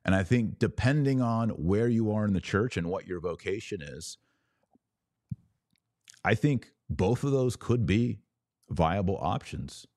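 Male podcast host, middle-aged, deep voice, dry close-mic sound, emphatic deliberate delivery. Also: lively intonation, a little mellow, no echo. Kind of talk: religious commentary podcast. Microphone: close studio microphone.

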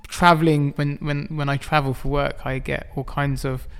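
Middle-aged english guy, kinda high smooth voice, stop-start chatty answers, lots of little laughs. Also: flat delivery, a bit bright. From England. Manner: halting, many restarts, chuckles often. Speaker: guest artist. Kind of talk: casual interview chat.

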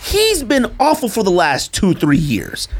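Emphatic man with swinging pitch. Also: huge pitch swings, a little slow. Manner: opinionated, emphatic, repeats himself. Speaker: sports podcast co-host. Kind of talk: casual sports debate.

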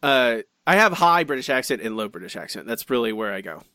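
A man co-hosting a podcast, reading out listener comments in chatty, animated fashion with frequent restarts and asides. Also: mid-range voice, fast talker, no room sound, clean studio sound. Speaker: podcast co-host.

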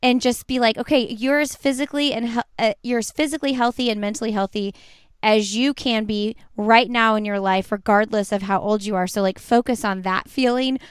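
A thirty-seven-year-old woman hosts a podcast in a relaxed, chatty way, asking her guest questions. Her voice is smooth and clear, recorded dry and close. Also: on the high side, a little fast, no echo. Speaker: podcast host. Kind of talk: casual interview chat.

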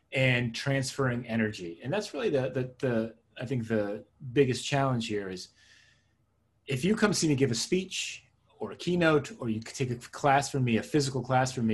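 A man's voice: mid-range voice, breathy edge. A middle-aged man from Israel and New Jersey, a native Hebrew speaker, answering interview questions conversationally and reflectively, repeating phrases for emphasis. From born in Israel, raised in suburban New Jersey. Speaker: interview guest, tech consultant and coach.

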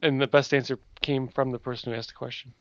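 A man co-hosting a casual comedy chat, chiming in with quick wisecracks and dry one-liners. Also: mid-range voice, flat delivery, fairly bright tone, dry recording. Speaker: co-host. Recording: dull, muffled lo-fi audio.

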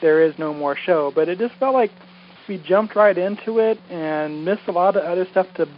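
A man's voice: high voice, moderate pace. A man who podcasts, leaving a casual, rambling message over a muffled phone line. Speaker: podcaster calling in. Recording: muffled phone voicemail, faint noise.

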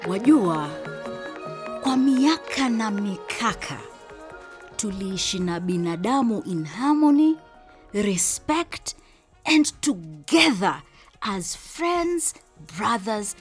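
A woman's voice: mid-range voice, very animated, extremely slow, pauses often. A woman playing a solemn storyteller and mourner in an audio drama, declaiming theatrically with emotion. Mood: solemn, fervent. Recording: music behind the voice. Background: music.

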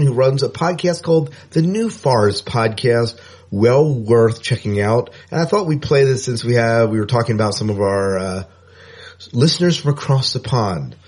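A man's voice: medium pitch, darkish tone, breathy edge. A man co-hosting a sci-fi podcast, joking with his partner and running the segments. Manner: joking, teasing, runs the segments. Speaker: podcast co-host. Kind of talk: casual podcast chat.

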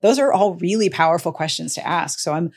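A woman's voice: lowish voice, fairly quick, vocal fry, slightly rough. A woman hosting a casual podcast conversation, talkative and emphatic with a wry touch. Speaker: podcast host.

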